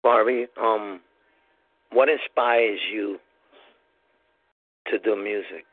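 A man hosting an interview, asking casual questions in a halting way with many ums and restarts. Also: fairly low pitch, husky, no echo. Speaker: interview host. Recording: dry, tinny phone-like sound.

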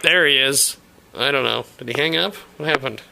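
A man hosting a live internet radio show, welcoming his guest with enthusiasm, quick laughs and effusive praise. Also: medium pitch, vocal fry, noisy background.

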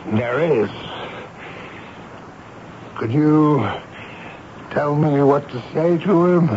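An actor playing a pompous, flustered army major in a radio drama, speaking in halting, emphatic, formal exasperation. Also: male voice, fairly high pitch. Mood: aghast, exasperated. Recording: dull, hissy 1970s radio broadcast.

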